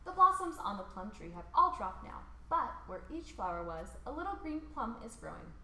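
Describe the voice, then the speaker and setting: Female, American, children's read-along host. Lively pitch movement, informal delivery, recorded in a roomy echo. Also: moderate pace. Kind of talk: picture book read aloud for children. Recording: roomy echo, faint background noise.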